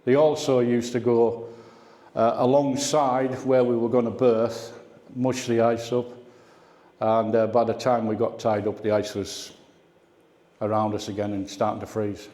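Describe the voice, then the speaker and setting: A man's voice: mid-range voice, level tone, measured pace, mellow. An older man giving an informal slide talk of personal reminiscences, explaining things in a conversational way. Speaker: guest speaker at a radio club. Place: indoor club meeting room. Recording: echoey, reverberant meeting room.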